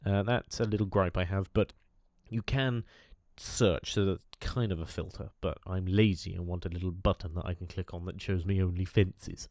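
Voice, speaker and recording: A man's voice: low voice, steady tone, normal speed, few pauses, a little mellow, no echo, studio quality. A man with a cold, nasal and chatty, talking over gameplay with lots of fillers. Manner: chatty, enthusiastic, rambling with fillers. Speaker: gaming YouTuber. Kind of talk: casual game commentary.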